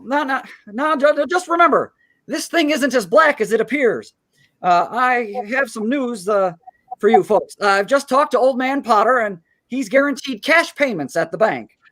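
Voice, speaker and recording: A man plays a kind-hearted small-town loan manager in a radio drama, his pitch swinging widely. He stammers and halts, flustered and earnest, as if always trying to persuade. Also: expressive, a bit bright. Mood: anxious, pleading. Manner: stammering, halting, pleading. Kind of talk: live radio play acting.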